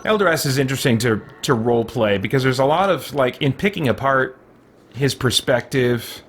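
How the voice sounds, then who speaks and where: A man's voice: medium pitch, moderate pace, slight background noise. A middle-aged man giving casual let's play commentary, musing and thinking aloud as he goes. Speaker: gaming video host. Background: music.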